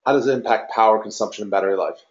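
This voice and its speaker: A man's voice: lowish voice, racing. A man hosting a webinar, putting an audience question in conversational speech. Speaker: webinar host. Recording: dull, roomy webinar audio.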